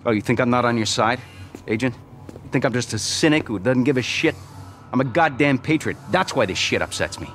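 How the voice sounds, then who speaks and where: An actor playing a cynical, gruff middle-aged American patriot in a video game, blunt and profane. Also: male voice, medium pitch, lively intonation, brisk, fairly bright tone, faint music behind, lightly acted. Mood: indignant. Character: cynical, gruff patriot man, bitter and passionate. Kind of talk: video game cutscene acting.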